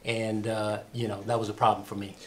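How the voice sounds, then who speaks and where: A man's voice: fairly low pitch, flat delivery, a little fast. A man in his thirties with an American accent, reviewing films conversationally as a co-host in a small room.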